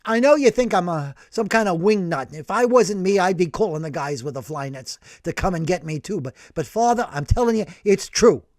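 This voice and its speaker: A man voicing a brash, excitable older working-class man who tells his story in animated slang. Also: high-pitched, a little fast, few pauses, dry recording, clean studio sound. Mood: indignant.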